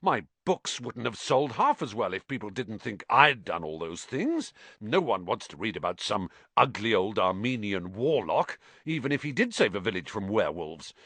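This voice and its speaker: A man's voice: fairly low pitch, big pitch swings, moderate pace, few pauses, fairly bright tone, no room sound, full character voice. A man doing a pompous, preening wizard professor. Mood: condescending. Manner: affected, condescending, theatrical.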